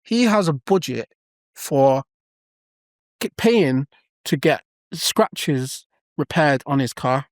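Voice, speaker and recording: A man's voice: fairly high pitch, animated, slow, pauses often, no echo, studio quality. A man co-hosting a casual podcast, explaining earnestly with examples and many restarts. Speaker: podcast co-host.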